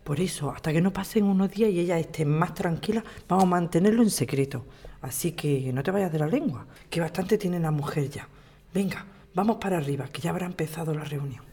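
A woman's voice: lowish voice, a little fast, mellow, close to an everyday voice. A woman with an accent from Spain acting a helpful, protective neighbour in an audio drama. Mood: hushed, discreet.

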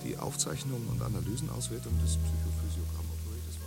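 A man's voice: on the low side, fast talker, creaky. An actor playing an earnest man of a future society who rambles on in pseudo-technical jargon, partly half-whispered. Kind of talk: radio drama acting. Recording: music under the voice.

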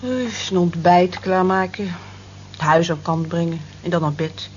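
Hesitant, low-voiced working woman played by an actress. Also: little pitch movement, measured pace, darkish tone, close to an everyday voice. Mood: defensive, plain. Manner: hesitant, demure, earnest. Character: modest, lonely married night-shift cleaning woman. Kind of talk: Dutch radio drama acting. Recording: dull lo-fi old radio recording, faint noise.

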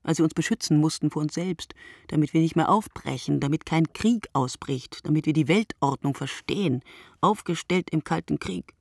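A sleepless, reflective woman in a radio play, her low voice full of creak. She speaks in measured, short phrases, close and dry, like a literary monologue. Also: no room sound.

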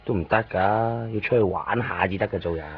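Radio drama neighbour: a nosy, kindly Hong Kong Cantonese man, lowish voice, muffled broadcast. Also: fast talker, slightly rough. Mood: concerned. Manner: chatty, fussing. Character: nosy, caring male shopkeeper neighbour, chatty and exclaiming.

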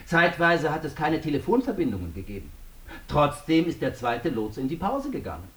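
An actor playing a stern, insistent courtroom examiner in a German radio drama, formal and probing. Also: male voice, fairly high pitch, wildly swooping pitch, a little fast, a bit bright, roomy sound. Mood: stern, accusing.

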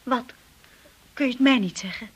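An actress playing an anxious, timid young wife in a radio drama, earnest and breathy. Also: female voice, fairly high pitch, normal speed. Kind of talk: radio drama acting with narration. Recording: hissy old radio play recording.

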